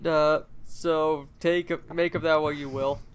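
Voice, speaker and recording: Man, higher-pitched voice, very smooth and clear, understated, matter-of-fact, casual podcast chat. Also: little pitch movement, takes it slowly. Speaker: podcast co-host.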